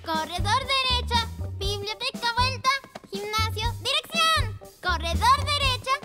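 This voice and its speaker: A woman's voice: very high voice, expressive, a little slow. A woman voicing a cheerful, eager little girl pug puppy in a Latin American Spanish children's cartoon, with giggly, polite, childlike speech. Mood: determined. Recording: loud children's music behind.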